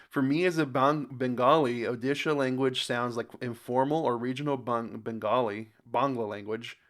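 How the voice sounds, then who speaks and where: A man with an American accent hosting a reaction video in casual commentary, a bit halting and stumbling over words as he reads aloud. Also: mid-range voice. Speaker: host of a reaction channel.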